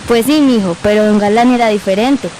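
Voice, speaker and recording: A woman's voice: mid-range voice, fairly quick, warm tone, slight background noise, close to an everyday voice, rough recording. A woman playing a working-class Colombian mother telling youngsters about the past in an amateur radio drama. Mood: earnest, sorrowful.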